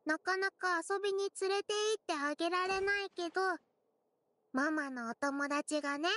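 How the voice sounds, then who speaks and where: A woman's voice: very high voice, normal speed, sharp tone, no echo. A woman voicing an innocent, eager little girl in an anime game, childlike and naive in manner. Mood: earnest storytelling. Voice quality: childlike, cutesy. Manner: naive, refers to herself by name. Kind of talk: Japanese anime game acting.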